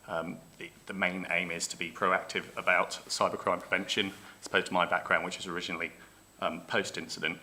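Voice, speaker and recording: Deep, very bright, flat-voiced man. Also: slight background noise. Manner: plain and steady, some ums. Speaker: police digital forensics specialist. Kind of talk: panel introduction. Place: conference hall at a tech fair.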